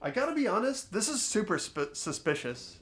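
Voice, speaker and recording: A young man hosting a game playthrough, switching between expressive scripted narration and casual commentary. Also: sits high, normal speed. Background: soft game music.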